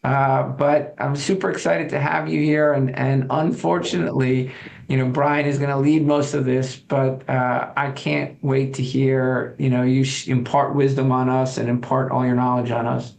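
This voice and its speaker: Gravelly-voiced eager podcast co-host man. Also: medium pitch, little pitch movement, normal speed, a little mellow. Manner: eager, stumbling with many fillers. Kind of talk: casual podcast chat.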